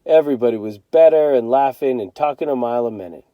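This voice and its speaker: A man reading a children's novel aloud as a teacher, in an expressive storytelling style. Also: mid-range voice, fast, no echo, highs cut off.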